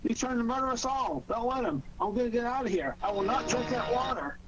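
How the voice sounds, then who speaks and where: An actor playing an indignant, agitated city official, a cornered villain in a 1940s radio drama. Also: male voice, very high voice, animated, a little fast. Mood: panicked. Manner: querulous, agitated protesting. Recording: muffled, noisy 1940s radio recording.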